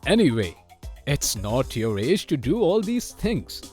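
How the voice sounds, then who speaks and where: A man voicing a kindly, sensible father advising his son in an animated children's story. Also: mid-range voice, a little slow, soft background music. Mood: patient, advising.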